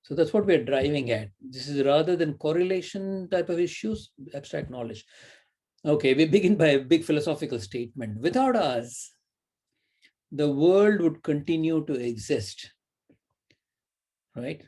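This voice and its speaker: A middle-aged man lecturing in a mellow, warm voice that sits a little high for a man. He rambles and thinks aloud, with fillers and "right?" tags. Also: lively intonation, normal speed, husky. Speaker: university lecturer. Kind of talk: recorded lecture.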